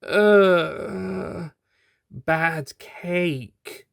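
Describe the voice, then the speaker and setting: A man's voice: high-pitched, drawn-out words, creaky, fairly bright tone, pitched above the performer's own voice, heavily acted. A man voicing a female time-travelling adventurer in an audio story, hesitant and indignant, with groans. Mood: groggy. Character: cross, groggy female time-travelling Doctor.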